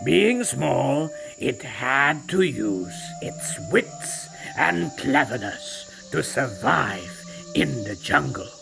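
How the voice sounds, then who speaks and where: A man's voice: mid-range voice, very animated, drawn-out words, vocal fry. A man narrating a storybook as a proud, magical ancient male tiger, in a theatrical, self-satisfied way. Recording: narration over loud music.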